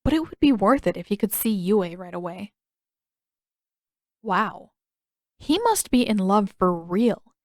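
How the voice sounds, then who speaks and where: Woman, story narrator, medium pitch, expressive scripted reading, dry close recording. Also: no room sound.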